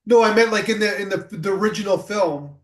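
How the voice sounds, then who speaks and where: A man with a somewhat high voice hosts a casual interview. He asks long, rambling questions packed with fillers and checks in often with short agreements. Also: fairly quick. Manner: rambling, filler-heavy questions with many likes and rights. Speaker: podcast host.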